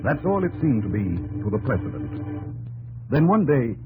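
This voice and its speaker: An actor playing a dutiful young presidential secretary who narrates a 1950s radio drama in a respectful storytelling manner. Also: male voice, mid-range voice, big pitch swings, moderate pace, phone-quality sound, natural voice. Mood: narrating. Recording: old radio broadcast with music behind.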